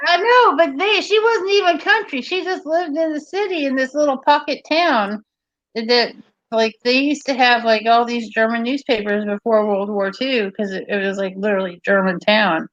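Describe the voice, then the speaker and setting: A woman's voice: fairly high pitch, normal speed, a bit bright. A woman chatting casually and teasingly, telling stories at length. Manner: teasing, talkative storyteller. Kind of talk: casual chat. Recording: dull lo-fi sound.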